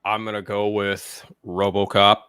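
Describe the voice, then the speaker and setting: Low, bright-edged man in casual chat. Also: monotone, measured pace, smooth, no room sound, clean studio sound. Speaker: podcast panelist.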